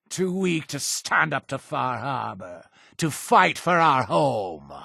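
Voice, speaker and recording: A man's voice: medium pitch, vocal fry, breathy edge, no room sound, studio quality, exaggerated voice. An actor playing a paranoid, imperious male cult leader in a video game, with a theatrical, preachy and menacing delivery. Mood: enraged. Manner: theatrical, preachy, menacing, swinging from hushed to shouting. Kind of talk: dramatic video game voice acting.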